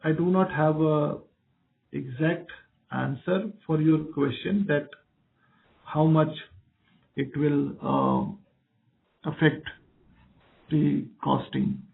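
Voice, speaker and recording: A man, a company executive on a conference call, answers questions off the cuff in halting short phrases. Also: fairly high pitch, fairly even intonation, vocal fry. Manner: halting, explanatory, breaks sentences into short phrases. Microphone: telephone. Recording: narrow phone line.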